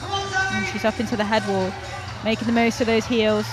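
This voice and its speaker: A woman's voice: fairly high pitch, big pitch swings, normal speed, over music. A young woman from North Wales giving chatty, enthusiastic live commentary on a climbing competition, often laughing at her own slips.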